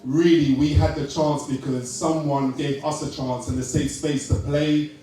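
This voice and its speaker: A man's voice: on the high side, level tone, moderate pace, warm tone. A man accepting an award on stage, earnest and hesitant, with many ums. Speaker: award recipient. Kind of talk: acceptance speech. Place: large hall at a live event. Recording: echoey hall, taken from far off.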